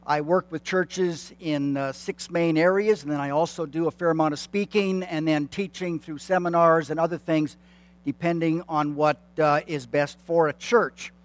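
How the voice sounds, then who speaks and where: A man's voice: a little high, fairly even intonation, normal speed. A man in his late fifties, a church consultant, addressing a congregation in a friendly, self-deprecating way with many fillers and restarts. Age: 50s. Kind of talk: announcements to a congregation. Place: church sanctuary.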